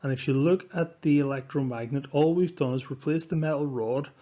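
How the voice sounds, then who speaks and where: A man narrating a lesson as a science teacher, speaking in an instructive, deliberate way with emphatic stresses, on a dull recording. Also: fairly high pitch, dark tone. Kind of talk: scripted lesson narration over slides.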